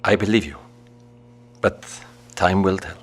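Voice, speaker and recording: An actor playing a gentle, devout father and wary survivor in an audio drama, speaking in a measured, formal, polite way. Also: sits low, a little hiss or hum. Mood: earnest, remorseful.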